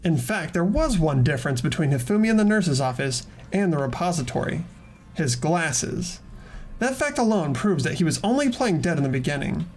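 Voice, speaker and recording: A performer in a fan-voiced game playthrough, playing an earnest teenage student detective who lays out evidence deliberately. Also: mid-range voice, sing-song, lightly acted. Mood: earnest, insistent. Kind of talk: scripted game dialogue read aloud by fans. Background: background music.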